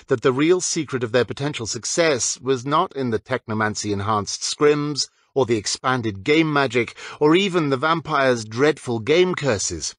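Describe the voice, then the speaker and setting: A male audiobook narrator reads a scripted story with very lively intonation. His medium-pitched voice sits in a dry, echo-free recording.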